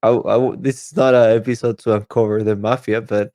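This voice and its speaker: A man co-hosting a podcast in casual chat, talking haltingly as he searches for his words. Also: fairly low pitch, steady tone, mellow, dry recording, clean studio sound. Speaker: podcast co-host.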